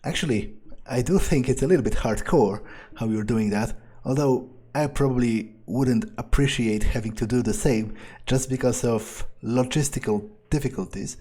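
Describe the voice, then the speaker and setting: Guy playing a game in character, mellow kinda husky voice, nonchalant, rambles and swears casually. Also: mid-range voice, normal speed. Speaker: let's player. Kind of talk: in-character gameplay commentary, thinking aloud.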